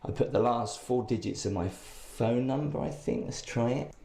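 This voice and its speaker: A man with a croaky, hoarse voice from the flu, giving an enthusiastic, chatty tour of a hotel room. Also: mid-range voice, moderate pace, background noise. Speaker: hotel reviewer.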